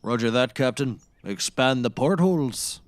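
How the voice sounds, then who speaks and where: A man's voice: mid-range voice, expressive, measured pace, dry recording, lightly acted. An actor playing a grandiose woodsman obsessed with seafaring, declaiming nautical bombast in a scripted comedy. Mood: gruffly dutiful. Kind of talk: scripted comedy audio acting.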